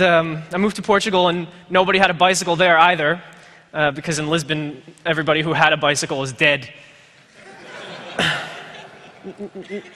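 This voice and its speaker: Bright-voiced young man, wry rambling talk. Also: on the high side. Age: 20s. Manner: rambling, wry, full of fillers and asides. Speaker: conference speaker. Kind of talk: self-deprecating joking talk. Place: large lecture hall. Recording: reverberant lecture hall.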